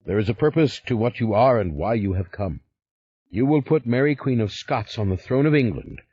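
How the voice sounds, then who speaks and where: A man's voice: medium pitch, lively intonation, moderate pace, dark tone, husky, pitched above the performer's own voice. A man doing a stern, devout old father. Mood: commanding. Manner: commanding, solemn and insistent. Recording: slightly muffled recording.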